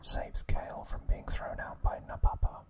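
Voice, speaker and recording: A man hosting an ASMR video, giving a trivia recap in a soft, breathy whisper with deliberate phrasing and pauses.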